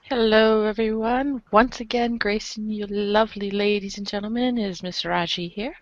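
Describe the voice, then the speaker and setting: A woman's voice: medium pitch, steady tone, moderate pace, no room sound. Woman, podcast reader, theatrical narration, mid-range voice, dry, slightly dull recording. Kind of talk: theatrical read-aloud narration.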